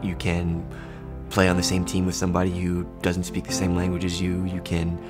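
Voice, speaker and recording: Man in his 30s, deep and even, thoughtful interview talk over music. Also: moderate pace. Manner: reflective, explanatory. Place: indoor office with logo backdrop.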